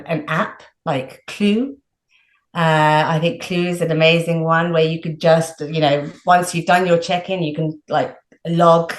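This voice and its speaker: Woman, health coach, low voice, chatty with fillers, roomy echo. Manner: chatty, frequent "like" and "you know" fillers. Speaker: women's health coach, guest. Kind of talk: casual explanatory advice.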